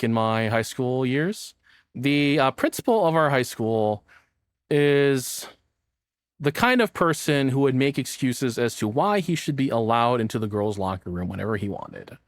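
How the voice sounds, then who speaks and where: Expressive, sweary man reacting casually. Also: mid-range voice, no echo, clean studio sound. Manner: profane, rambling, expressive. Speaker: streamer reacting to a video.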